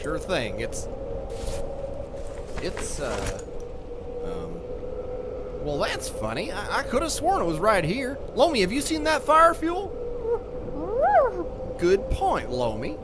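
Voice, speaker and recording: A folksy, kindly survivor leader in a radio play, rambling earnestly with a very lively, swooping pitch. He hesitates and fusses his way through his sentences. Clear outdoor noise runs behind him. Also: slow pace, pauses often, exaggerated voice. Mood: hesitant, puzzled. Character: folksy, kindly male survivor leader hauling wounded mole. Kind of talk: audio drama acting.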